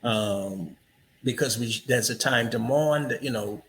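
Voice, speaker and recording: A man's voice: medium pitch, a little slow, a bit bright, a little hiss or hum, rough recording. A man hosting an interview, talking reflectively and telling stories with frequent "you know" asides. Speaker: interview host. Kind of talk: casual interview conversation.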